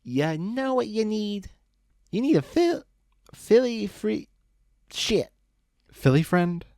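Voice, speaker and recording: A man's voice: high voice, big pitch swings, slow pace, darkish tone, no echo, studio quality, voice pushed up, exaggerated voice. A man voicing a drunk, sentimental young male pony who slurs and wheezes as he talks. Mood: drunk rambling. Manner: slurred, giggly, wheezing.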